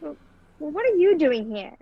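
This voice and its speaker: A high-pitched, indignant lady of a radio play, acted by a young woman. She is wary and curt, with huffy exclamations. Her video-call audio is dull and dry. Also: very animated, normal speed, creaky, no room sound, heavily acted. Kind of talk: amateur radio play acting. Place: indoors, performed over a video call.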